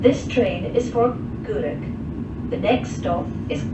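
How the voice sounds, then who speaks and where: A woman reads railway announcements formally, in slow and carefully separated phrases. Her voice comes from a distant train speaker, echoing under the loud noise of the carriage. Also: mid-range voice, expressive. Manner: measured, formal, segmented phrasing. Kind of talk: recorded railway passenger announcements. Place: aboard a moving passenger train. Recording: muffled, noisy, echoey train PA captured from afar. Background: loud train and rail noise.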